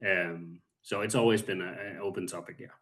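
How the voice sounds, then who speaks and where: Danish-accented man, calm and low. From Denmark. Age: 30s. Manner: calm, soft-spoken, halting with many pauses. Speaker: guest. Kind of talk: casual interview.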